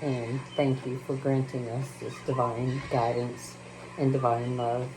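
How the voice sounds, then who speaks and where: A woman with a Texas accent speaking slowly and haltingly, with many fillers, first chatting about her channel and then reciting a prayer. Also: a bass voice, little pitch movement. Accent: Texan. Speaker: spiritual channel host. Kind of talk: casual spiritual talk and spoken prayer. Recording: noticeable background noise.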